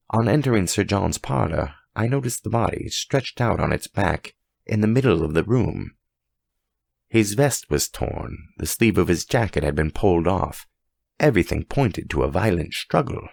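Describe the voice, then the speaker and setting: Male storyteller, low-pitched, dry close sound, measured, suspenseful, theatrical horror audiobook narration. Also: creaky, a little mellow, no echo.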